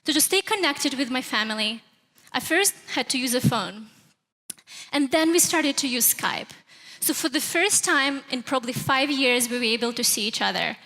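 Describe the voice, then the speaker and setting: A woman's voice: sits high, expressive, normal speed, pushed and bright. A woman with a Russian accent giving a prepared conference talk as a presenter in a large hall. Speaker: presenter at a youth tech summit. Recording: echoey hall, long reverb.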